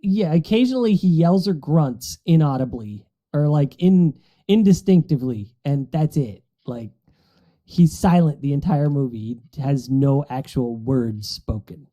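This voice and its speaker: A man's voice: on the high side, animated, measured pace, mellow, lo-fi sound. A man chatting casually on a podcast about movies, telling things in a rambling, amused way. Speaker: podcast panelist.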